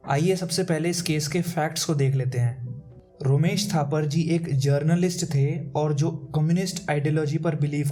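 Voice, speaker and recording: A man's voice: on the high side, warm tone. An Indian man presenting a scripted educational narration in a formal, methodical explaining manner. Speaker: presenter of legal case explainers.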